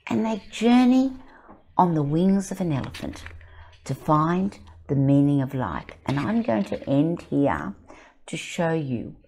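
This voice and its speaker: An older woman, the author, reading her picture book aloud and talking about it in an expressive, dramatic storytelling manner, sometimes breathy. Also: sits low, a little slow. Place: indoor studio set, seated in armchair.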